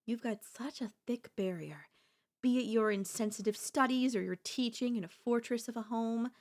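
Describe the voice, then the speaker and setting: A woman's voice: mid-range voice, natural voice. A woman playing a doting, possessive girlfriend in an ASMR audio roleplay, speaking in flowery, coaxing endearments with a teasing elegance. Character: doting, possessive yandere girlfriend, sweetly insistent and theatrical.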